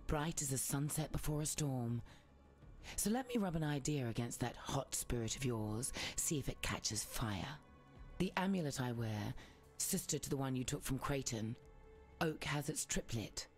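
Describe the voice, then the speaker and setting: Guy doing a smooth-tongued menacing tribe boss for a game, medium pitch, faint music. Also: natural voice. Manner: insinuating, deliberate. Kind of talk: video game character monologue.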